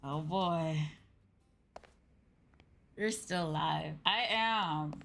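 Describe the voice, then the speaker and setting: A woman streaming a video game, commenting live and reading dialogue aloud with expressive, emotive reactions. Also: sits low, drawn-out words, vocal fry, faint music behind.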